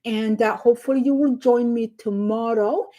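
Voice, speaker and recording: A middle-aged woman from southern Somalia with a Somali accent, hosting a casual livestream, unhurried and halting with many fillers as she thanks viewers by name. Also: on the high side, fairly even intonation, mellow. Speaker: livestream host.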